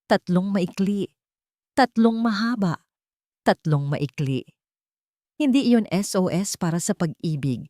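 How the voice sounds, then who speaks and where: A woman reading a dramatic scripted audio story with an expressive, suspenseful delivery. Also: medium pitch, slow, pauses often, dry recording, studio quality. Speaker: narrator.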